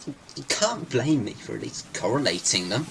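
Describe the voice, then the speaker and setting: A man's voice: medium pitch, sing-song, moderate pace, gravelly, fairly bright tone, slight background noise. A man playing an anxious, stubborn nephew searching for a missing loved one, with a nervous, dramatic manner, in an audio drama. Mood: agitated, stubborn.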